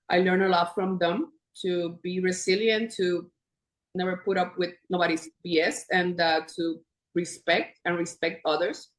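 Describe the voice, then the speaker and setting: A woman's voice: on the low side, echoey room, rough recording. A woman in her fifties with a Puerto Rican accent, a guest chatting freely, laughing often and restarting her sentences. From Puerto Rico. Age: 50s. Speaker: podcast guest. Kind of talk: casual interview chat.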